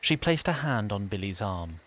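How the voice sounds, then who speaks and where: A man's voice: lowish voice, sing-song, normal speed, a bit bright. A man playing an arch, courtly fantasy narrator, telling the story with formal, droll grandiloquence. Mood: droll, grand. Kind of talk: theatrical scripted narration.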